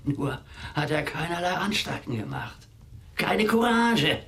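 A man's voice: fairly high pitch, big pitch swings, measured pace, pushed and bright, heavily acted. An actor playing a capricious, theatrical man in a radio play, declaiming and savouring his words, moving between murmurs and calls. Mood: scornful. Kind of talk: German radio play acting.

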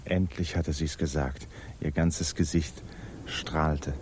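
An actor narrating a literary 1950s German radio drama as a sober, observant storyteller. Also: male voice, extremely low pitch, fairly even intonation, takes it slowly, darkish tone, a little hiss or hum. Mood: calm, intimate.

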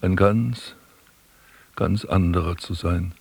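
An actor playing a proud, disillusioned prince in a literary radio drama, measured, dry and reproachful. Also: male voice, low-pitched, vocal fry, darkish tone, breathy edge, full character voice. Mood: bitter, reproachful. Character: proud, sardonic, disillusioned prince, likened to a tree.

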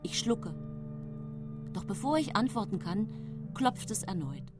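An actress playing a secretive nineteenth-century woman poisoner who tells her own story in hushed, composed radio drama narration. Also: mid-range voice, expressive, takes it slowly, over music. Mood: tense, watchful. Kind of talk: intimate first-person radio drama narration.

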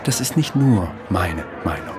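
An actor playing a flattering, insistent government emissary of the Nazi regime in a German radio drama, polite and smoothly persuasive. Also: male voice, sits low, measured pace, crowd noise. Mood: insinuating.

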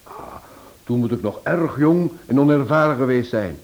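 A man's voice: medium pitch, very animated, normal speed, muffled recording. A man playing a suave, teasing amateur sleuth and husband in a radio drama, polished and playfully condescending. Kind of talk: Dutch-language radio drama acting.

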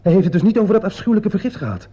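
An actor playing a nervous, over-polite suspect in a radio play, hesitant and slightly formal. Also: male voice, sits high, very animated, rapid-fire. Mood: tense. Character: nervous, over-polite man under suspicion. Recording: muffled, dull old radio play recording.

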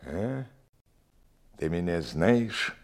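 Gruff, low-voiced menacing tough. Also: male voice, big pitch swings, very slow, full character voice. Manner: gruff, slangy, needling. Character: menacing, slow-talking tough pretending to be crazy. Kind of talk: Soviet radio drama acting.

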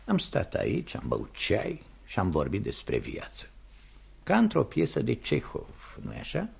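An actor in a radio play voicing a genteel, patronising older male boss, with husky, gravelly, theatrically drawn-out speech. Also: on the low side, wildly swooping pitch, exaggerated voice. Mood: musing. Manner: theatrical, drawn-out, swooping phrasing. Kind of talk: Romanian radio theatre acting. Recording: studio radio play recording.

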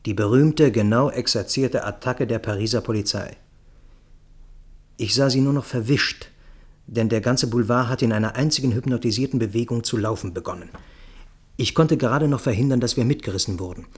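A man reading scripted literary narration for a radio play in a measured, precise way. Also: mid-range voice, slightly rough.

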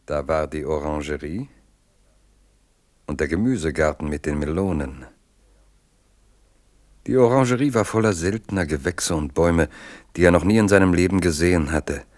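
A man narrating a historical radio drama in a measured, evocative storytelling manner. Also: extremely low pitch, darkish tone, no room sound.

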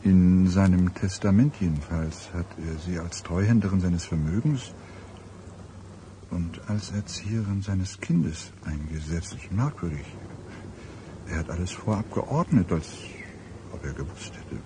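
A grave police detective with a low voice. He muses haltingly, troubled and puzzled, in the manner of a radio play. Outdoor ambience is clearly audible behind him. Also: noisy background. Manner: halting, grave, musing pauses. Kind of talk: German radio drama acting.

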